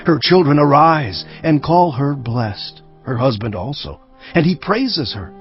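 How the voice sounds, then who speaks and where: A man playing an earnest royal sage, reciting a parent's counsel from scripture in a deliberate, declamatory way. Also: a little high, lively intonation. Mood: admiring, measured. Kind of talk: dramatised scripture reading. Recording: dull lo-fi audio with background music.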